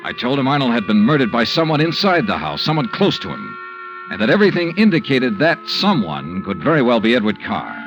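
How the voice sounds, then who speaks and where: An actor playing a hard-boiled insurance investigator who narrates and questions people in a dry, clipped, matter-of-fact way, in 1950s radio drama style. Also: male voice, medium pitch, huge pitch swings, brisk. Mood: narrating. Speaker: narrator and lead detective. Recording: muffled old radio broadcast over music.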